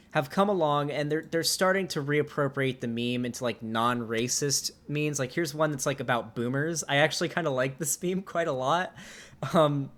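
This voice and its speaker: A man in his twenties streaming live, talking casually and reacting with exasperated outbursts and swearing as he comments on memes. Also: on the high side. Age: 20s. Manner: swearing, animated and exasperated, with laughs.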